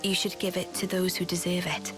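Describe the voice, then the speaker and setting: A woman playing a weary, regal queen in a video game, speaking gravely and calmly with a hushed, measured manner. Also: on the low side, over music, natural voice. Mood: solemn, weary. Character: solemn, weary, regal queen, cryptic and calm. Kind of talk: video game cutscene acting.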